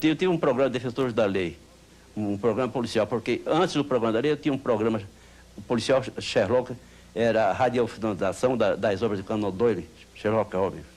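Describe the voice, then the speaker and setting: A Brazilian man, a radio veteran, recalling his work off the cuff, haltingly and repeating words. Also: on the low side, a little mellow. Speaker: radio veteran giving testimony. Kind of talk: unscripted spoken reminiscence.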